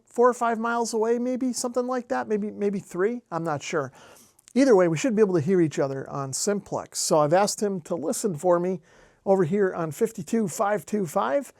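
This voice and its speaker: A man's voice: high-pitched, darkish tone, no echo, studio quality. An American man casually narrating a hands-on hobby demonstration as host. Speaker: host, amateur radio hobbyist.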